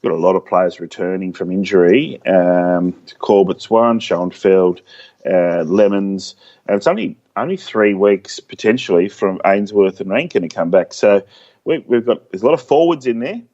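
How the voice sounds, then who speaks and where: A middle-aged man co-hosting a casual sports podcast, talking haltingly with many restarts. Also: low-pitched, lively intonation, mellow, highs cut off. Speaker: podcast co-host.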